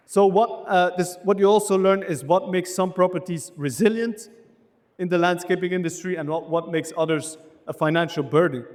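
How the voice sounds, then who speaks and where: A man with a Belgian accent giving an enthusiastic motivational business presentation to a live audience, as a keynote speaker. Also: sits high. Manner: enthusiastic, rallying the audience with questions. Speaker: keynote speaker, landscape architect. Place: indoor event hall, speaking on stage. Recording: reverberant hall sound.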